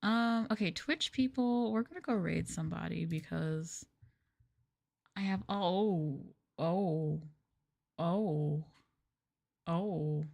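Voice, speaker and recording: Slow, low-pitched woman chatting softly. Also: vocal fry. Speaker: VTuber streamer, host. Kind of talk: casual ASMR chat livestream.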